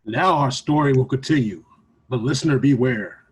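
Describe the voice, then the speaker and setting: Man as a husky, ominous radio announcer. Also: medium pitch, normal speed. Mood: mock ominous. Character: ominous old-time radio mystery announcer.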